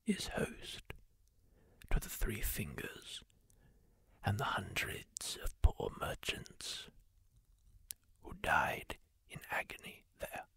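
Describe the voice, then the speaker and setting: A man voicing a kindly masked healer in a fantasy roleplay, telling a grim story in a soft, husky whisper. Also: on the low side, big pitch swings, no room sound, full character voice. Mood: hushed solemn. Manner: slow, hushed, solemn storytelling to the listener. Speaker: ASMR roleplay performer.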